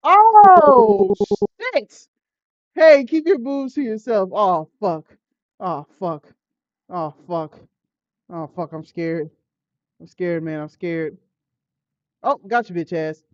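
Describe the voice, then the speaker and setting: American woman, let's play host, mid-pitched, slow-paced, expressive and profane, slightly dull audio. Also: no room sound. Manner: expressive, slangy, profane reactions. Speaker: gaming streamer. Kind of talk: animated let's play commentary and dramatic read-aloud of a visual novel. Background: game music.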